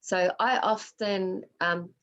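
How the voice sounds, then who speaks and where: A woman's voice: mid-range voice, steady tone, takes it slowly, a bit bright, muffled recording. Woman facilitator mixing Māori words in. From Australia. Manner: mixes Māori words into her English; reflective and personal. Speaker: workshop facilitator. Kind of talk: answering audience questions in a workshop.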